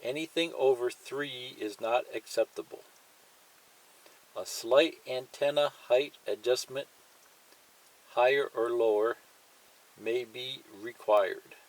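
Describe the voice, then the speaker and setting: A man's voice: mid-range voice, slow pace, pauses often, rough recording. A man giving a plain-spoken, step-by-step hands-on demonstration, pointing things out and repeating himself as he goes. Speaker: hobbyist instructor. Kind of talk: step-by-step hands-on tutorial demonstration, partly reading a manual aloud.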